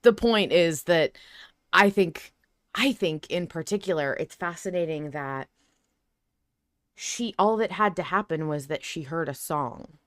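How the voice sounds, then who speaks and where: A woman's voice: on the low side, unhurried, no echo. A woman hosting a podcast, talking casually and analytically, reflective as she thinks aloud. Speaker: podcast host.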